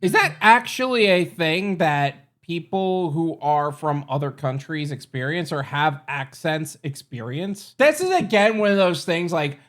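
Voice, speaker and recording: A man's voice: on the high side, animated, a little slow, hardly any pauses, fairly bright tone. A young man with a New York accent hosting a reaction video, musing aloud with candid rhetorical questions. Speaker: gig-economy channel host. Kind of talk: reaction commentary.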